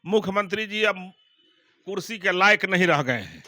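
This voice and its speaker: A forceful, high-pitched man answers as a political spokesman. His delivery is accusatory and repetitive, in a dry, close recording. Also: expressive, normal speed, no room sound.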